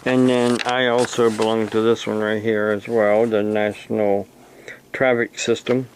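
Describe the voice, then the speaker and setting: A man from the United States giving an unscripted explanation to camera, speaking haltingly in short phrases with long pauses between them. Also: on the low side, flat delivery, a little hiss or hum, low-quality recording. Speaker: amateur radio operator and preacher making a video.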